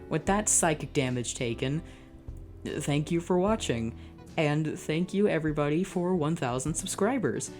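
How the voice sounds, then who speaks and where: Low-voiced woman reading with sarcastic asides. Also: a little mellow. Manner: exasperated, sarcastic commentary. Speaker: YouTuber reading fan fiction. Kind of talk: dramatic reading with comic asides.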